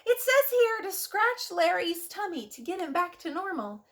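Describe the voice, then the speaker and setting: A woman hosting a story time for young children, speaking in an expressive, sing-song and encouraging way. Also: extremely high pitch, normal speed. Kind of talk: read-aloud addressed to young children.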